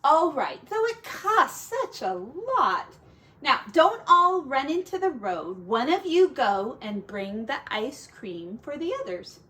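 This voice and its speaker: A woman voicing a flustered, scolding mother pig in a children's storybook, exclaiming in drawn-out cries. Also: sits high, very animated, bright, forceful tone, pitched above the performer's own voice, heavily acted. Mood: flustered, fussing.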